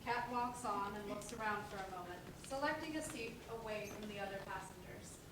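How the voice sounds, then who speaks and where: A woman's voice: medium pitch, fairly quick. A woman reading stage directions as scripted narration. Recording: very echoey, distant, noisy room.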